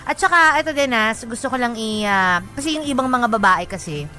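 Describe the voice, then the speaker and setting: A woman's voice: a little high, lively intonation, fairly quick, pushed and bright. Playful Filipino woman bantering over music. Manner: playful, sassy, interjecting, mixes in English. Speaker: radio DJ co-host. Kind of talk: casual radio banter and advice on listeners' love stories. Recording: music bed under the studio talk. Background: background music.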